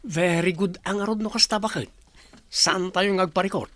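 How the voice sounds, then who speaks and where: A man's voice: high-pitched, fairly even intonation, moderate pace, fairly bright tone. A man with an Ilocano accent acting in a radio play as a breezy, defensive, free-spending married son. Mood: eager.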